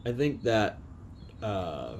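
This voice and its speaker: A man chatting casually as a podcast co-host, piecing his thoughts together in halting fragments. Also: lowish voice, very animated, darkish tone. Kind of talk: casual music chat.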